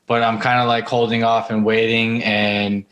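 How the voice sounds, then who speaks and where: A man's voice: on the low side, flat delivery, normal speed, a bit bright, dull audio. A man hosting a podcast in a casual, conversational interview style. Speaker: podcast host.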